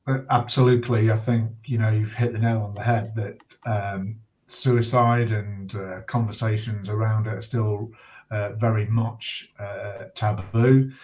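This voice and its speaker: Earnest, measured British man in conversation. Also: on the low side, darkish tone. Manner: earnest, measured, hesitant with pauses. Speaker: interview guest, bereaved father and campaigner. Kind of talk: reflective conversation.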